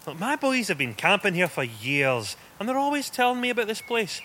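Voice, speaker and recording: Man playing brash, high-pitched young Scottish stoner. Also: sing-song, fairly quick, sharp tone, full character voice. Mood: cocky banter. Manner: brash, mocking. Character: cocky young male stoner egging on camping friends. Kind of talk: radio horror drama acting. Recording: noisy ambient background.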